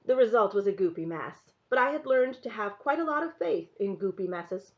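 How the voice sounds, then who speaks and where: A woman's voice: mid-range voice, animated, normal speed. Woman reading a novel aloud, muffled sound. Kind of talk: expressive first-person story narration read aloud.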